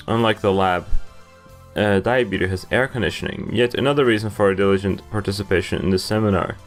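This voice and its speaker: A man's voice: lowish voice, expressive, moderate pace, pauses often. A young man on a headset casually reading a visual novel aloud and commenting as he goes. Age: young adult. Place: indoor room at a computer. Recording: game music behind the voice.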